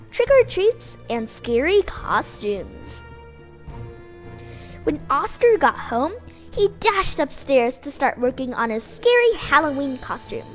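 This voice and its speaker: A woman reading a children's picture book aloud in an expressive, sing-song storytelling manner. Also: high-pitched. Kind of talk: bedtime story read-aloud.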